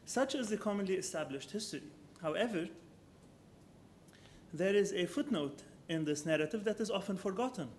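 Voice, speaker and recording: A man with a somewhat high voice gives an academic architecture lecture, measured and unhurried. He thinks aloud as he goes, filling pauses with ums and correcting himself mid-sentence. A faint low rumble sits under the recording. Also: lively intonation. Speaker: architecture professor giving a lecture.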